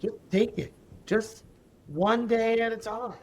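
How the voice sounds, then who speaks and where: A woman in her forties with a deep, raspy voice, telling her story as a podcast guest in an animated, emphatic way and repeating key phrases. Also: takes it slowly. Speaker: podcast guest, special education advocate. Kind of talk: conversational interview storytelling.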